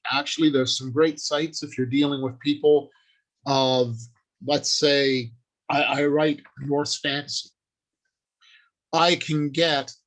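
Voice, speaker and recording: Slow-paced man giving an informal talk. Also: fairly high pitch, a bit bright, rough recording. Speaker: writer and convention panel speaker. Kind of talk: informal instructional talk, partly improvised from notes.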